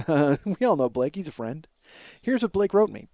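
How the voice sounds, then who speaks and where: A man in his forties hosting a comedy podcast, rambling in an animated, profane monologue and often cutting himself off. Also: fairly high pitch, normal speed, dry recording. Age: 40s. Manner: animated, emphatic, self-interrupting riffing.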